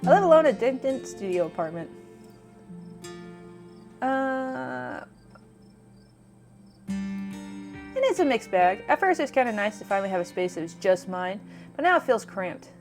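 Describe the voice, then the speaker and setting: A woman's voice: mid-range voice, close to an everyday voice. A woman reading the part of a wry, downbeat young city dweller in a visual novel.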